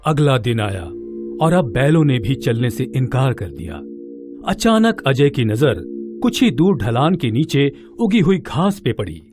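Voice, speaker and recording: A man narrates a children's tale at a slow pace. His medium-pitched voice rises and falls expressively, with music playing behind him. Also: sing-song. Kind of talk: scripted children's story narration.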